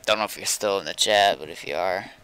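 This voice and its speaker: A man's voice: sits low, fast talker, sharp tone, clear, resonant tone, rough recording. A man chatting casually on a gaming livestream, rambling and thinking aloud as he goes.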